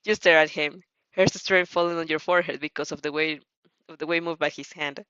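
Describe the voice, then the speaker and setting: A woman giving an expressive, giggly story narration with spontaneous reactions, often stumbling over her words. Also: low voice, a little fast, clean tone, dry recording, muffled recording. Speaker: fan fiction reader and reactor.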